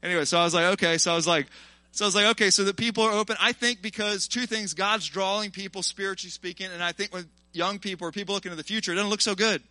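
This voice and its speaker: Male preacher, early 40s, high forceful voice, colloquial and exhorting, informal live sermon. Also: rapid-fire, dry recording, low-quality recording. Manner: animated, colloquial, exhorting the listeners. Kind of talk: informal sermon to a live congregation, with personal testimony.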